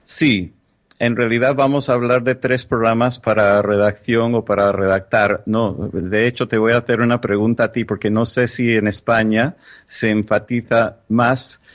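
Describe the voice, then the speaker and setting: A man's voice: mid-range voice, steady tone, moderate pace. A man from the United States whose first language is English, a tech journalist speaking as an interview guest in an articulate, precise way, keen on explaining word usage. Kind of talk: podcast interview.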